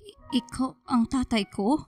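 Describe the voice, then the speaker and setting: An actress playing a bitter, hurt young daughter in a radio drama, with a Filipino accent and a confrontational manner. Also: female voice, sits high, unhurried, darkish tone, soft background music. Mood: wounded disbelief. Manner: confrontational, reproachful.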